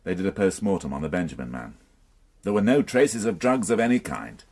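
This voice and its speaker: Weary, low-voiced police inspector. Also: male voice, sing-song, a little fast, darkish tone. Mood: brooding, bitter. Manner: grumbling, hesitant, sighing. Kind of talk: radio drama acting.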